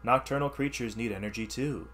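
A man teaching through an expressive read-aloud of rhyming science verse, with explanatory asides in an instructional manner. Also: mid-range voice, brisk, a little hiss or hum. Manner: animated, instructional.

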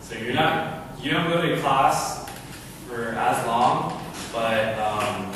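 A young man explaining school life to a class in a relaxed way, with many fillers. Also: medium pitch, slow pace. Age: young adult. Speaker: visiting student speaker. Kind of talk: informal classroom talk. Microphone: far camera. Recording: echoey classroom from far off, noisy.

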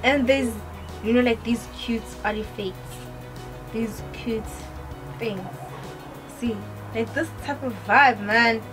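A woman's voice: a little high, steady tone, slow pace, pauses often, over music. A teenage girl chatting casually on a couch with her father, rambling with lots of fillers and giggles. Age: teens. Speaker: daughter on a couch chat. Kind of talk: casual chat. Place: living room, on a couch.

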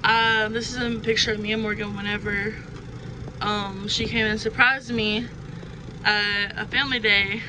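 A woman's voice: medium pitch, a little slow. A young adult woman giving a casual, unscripted home tour inside a camper in a rambling, offhand manner. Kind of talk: casual, unscripted show-and-tell. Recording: noisy handheld recording, steady background noise.

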